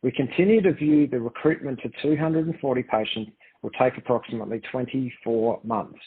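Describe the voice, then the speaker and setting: A man's voice: mid-range voice, a little fast, breathy edge. A man speaking as a company executive on a conference call, presenting and answering in careful, formal corporate phrasing. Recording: dull, lo-fi conference call line.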